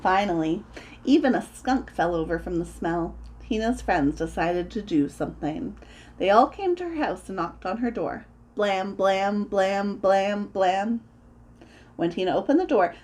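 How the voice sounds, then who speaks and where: A woman reading a children's story aloud in an expressive, deliberate way, as a storyteller acting out the sound words. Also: fairly low pitch.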